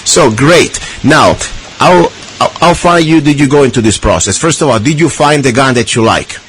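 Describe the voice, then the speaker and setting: A male radio host chats in a loose, rambling way, often restarting his sentences. His voice sits at a mid pitch. A faint hiss runs under the broadcast. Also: lively intonation, normal speed, fairly bright tone, low-quality recording. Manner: chatty, with frequent restarts and fillers. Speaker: radio talk show host. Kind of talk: casual radio interview.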